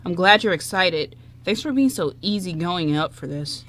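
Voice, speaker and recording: A woman's voice: lowish voice, lively intonation, normal speed, fairly bright tone. Woman acting an anxious, awkward young man. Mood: earnest, relieved. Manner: flustered, earnest. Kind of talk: amateur radio play acting.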